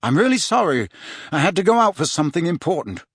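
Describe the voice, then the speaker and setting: A man's voice: fairly high pitch, very animated, fast talker, fairly bright tone, breathy edge, no echo, studio quality, voice pushed up, heavily acted. A man voicing an impatient, earnest teenage wizard boy with a blunt manner in a storybook. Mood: apologetic, dismayed.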